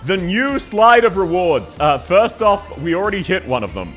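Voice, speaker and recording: A man's voice: high voice, very animated, normal speed, faint music behind. An Australian man streaming live in casual chat, laughing often and thanking viewers one by one. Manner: giggly, thanks viewers warmly.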